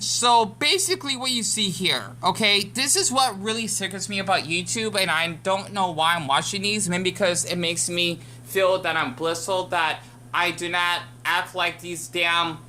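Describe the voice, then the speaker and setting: A woman's voice: mid-range voice, measured pace, sharp tone, slight background noise. An American woman giving rambling casual commentary as a YouTube reactor, full of fillers and restarts. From United States.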